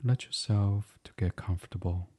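A man guiding a bedtime meditation as a podcast host, speaking softly and soothingly in an unhurried, hushed, breathy way. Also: fairly low pitch. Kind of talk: guided meditation, gentle bedtime reading.

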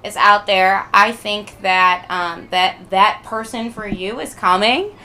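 A young adult woman in casual, joking podcast chat, talking in an informal and animated way. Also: medium pitch, slow pace. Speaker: podcast guest and occasional co-host.

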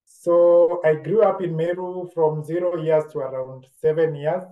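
A man with a Kenyan accent telling his story as an interview guest, deliberate and reflective, often repeating phrases. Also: fairly high pitch, fairly even intonation, narrow, tinny audio. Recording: roomy, echoing sound.

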